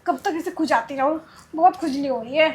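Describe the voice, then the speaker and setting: A woman's voice: sits high, fairly quick, slight background noise, lightly acted. An actress playing a naive young woman in a PG room who whines and pleads with a doctor about her itch. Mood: pleading.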